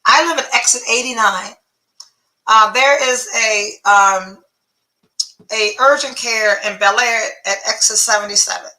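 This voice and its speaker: A woman's voice: on the high side, measured pace, pushed and bright, roomy sound, rough recording. A woman podcast host telling a story in a casual monologue, blunt and profane, sounding congested with phlegm.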